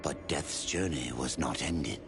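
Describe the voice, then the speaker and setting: An actor playing a cryptic old sage telling legend in a video game, portentous and gravelly, with dramatic pauses. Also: male voice, a bass voice, heavily acted. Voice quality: creaky, gravelly. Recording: loud music behind.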